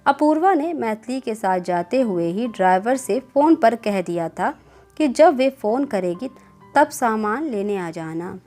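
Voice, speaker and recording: A woman's voice: medium pitch, darkish tone. A woman reading a scripted moral story as a storyteller. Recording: clear background music bed. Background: music.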